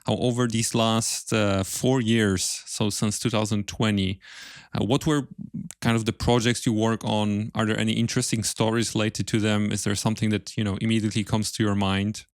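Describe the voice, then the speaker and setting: A man's voice: lowish voice, level tone, moderate pace, no echo, studio quality. A man hosting a podcast interview, asking casual questions in agreeable, slightly hesitant phrasing.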